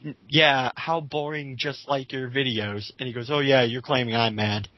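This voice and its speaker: A man's voice: mid-range voice, fairly even intonation, moderate pace, bright, forceful tone, no echo, low-quality recording. A man casually reading out online comments and mocking them with sarcastic, sweary commentary. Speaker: host of an online dramatic reading.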